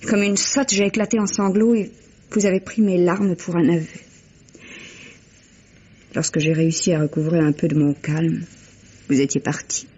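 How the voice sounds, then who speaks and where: An actress playing a refined, emotional widow in a vintage French radio mystery, with genteel, expressive theatrical delivery. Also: female voice, lowish voice, moderate pace, long pauses, breathy edge. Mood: distressed, confiding. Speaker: actor. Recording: muffled old radio drama recording.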